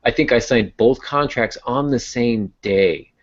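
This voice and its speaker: A man's voice: medium pitch, a little slow. A man chatting casually on a podcast as a novelist, telling anecdotes about writing in a conversational way. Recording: dull, band-limited audio.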